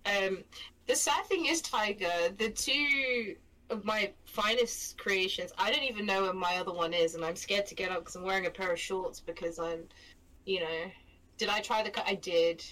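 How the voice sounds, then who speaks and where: A woman's voice: mid-range voice, moderate pace, sharp tone, low-quality recording. A young woman with a London accent, co-hosting a stream in casual chat, rambling and self-mocking, laughing often. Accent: London English. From England. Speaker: stream co-host.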